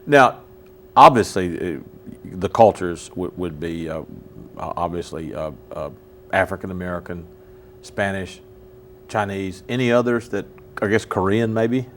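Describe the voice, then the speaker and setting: A man hosting a local TV chat in a very low voice. He talks in a chatty way, stumbling and repeating words while he looks for the right one. A faint hiss sits under the studio recording. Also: a little slow, a bit bright. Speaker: host. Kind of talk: casual interview.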